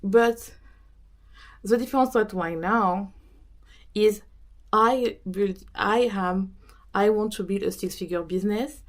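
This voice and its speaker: A woman with a French accent, a native French speaker, talking casually about consistency as a podcast host and coach, often pausing and restarting as she searches for words. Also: mid-range voice. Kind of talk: casual talk to camera.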